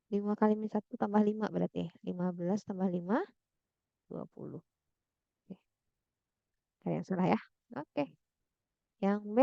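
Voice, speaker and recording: A woman talks slowly through a math problem, thinking aloud and checking in with her listeners. Her voice is heavily gravelly with vocal fry. The recording is dull and lo-fi, close and dry. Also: mid-range voice, mellow, dry recording. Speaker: math tutor. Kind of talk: slow worked-example tutoring.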